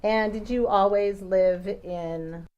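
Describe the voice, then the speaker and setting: A woman attorney questioning a witness in a courtroom, patient and measured, with occasional ums. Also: medium pitch, fairly even intonation, a little mellow, muffled recording. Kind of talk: courtroom direct examination.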